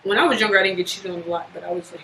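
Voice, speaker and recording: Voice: mid-range voice, gravelly, sharp tone. A podcast host in their twenties who leads the discussion and keeps asking the others questions in a casual chat. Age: 20s. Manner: fast-talking, leads the questions. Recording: roomy echo with faint background hiss.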